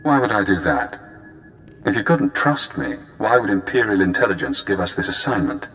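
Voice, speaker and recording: An actor playing a cool, cunning spy handler in a video game, speaking in measured, cerebral, clipped phrases. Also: male voice, fairly low pitch, big pitch swings, gravelly, a bit bright, exaggerated voice. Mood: fascinated, calm.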